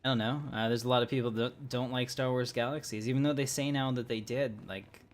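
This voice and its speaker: A man's voice: medium pitch, fairly even intonation, fairly quick. A man livestreaming a game who chats casually in a rambling way with frequent fillers. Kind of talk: casual gameplay chat.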